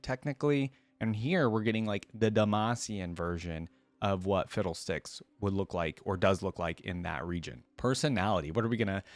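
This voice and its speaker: A man's voice: fairly low pitch, no echo. Casual man reacting in a dry close recording. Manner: conversational, thinks aloud, asks viewers questions. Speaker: reaction video host. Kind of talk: casual reaction commentary, partly reading aloud.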